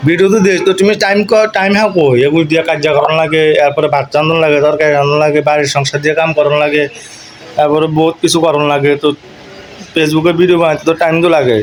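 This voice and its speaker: Fast-talking, higher-pitched Bengali village man. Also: fairly even intonation. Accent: rural eastern Bengali dialect. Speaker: video creator on a call. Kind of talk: casual bantering phone chat. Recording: noisy phone video call recording.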